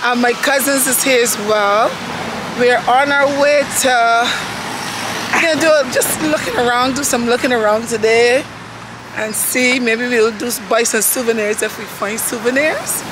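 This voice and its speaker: A middle-aged woman with a hoarse voice, chatting straight to her phone camera on a travel vlog. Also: fairly high pitch, moderate pace. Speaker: vlogger. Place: outdoors on a city street and in a park. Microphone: phone held at arm's length. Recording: street and traffic noise behind.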